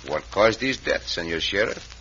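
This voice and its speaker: Gallant Mexican cowboy hero, lowish expressive voice, formal phrasing, confident, dull vintage radio western sound. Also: male voice, normal speed. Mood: polite, probing. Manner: formal, courteous, avoids contractions. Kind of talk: 1950s radio western acting. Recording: dull, hissy old radio recording.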